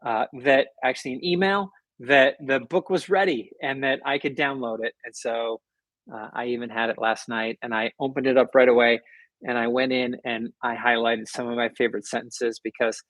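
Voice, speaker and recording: A man's voice: medium pitch, steady tone, normal speed, a bit bright, dry recording, low-quality recording. A man hosting a casual livestream, rambling conversationally and answering comments in a soothing, self-deprecating way. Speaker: livestream host, author.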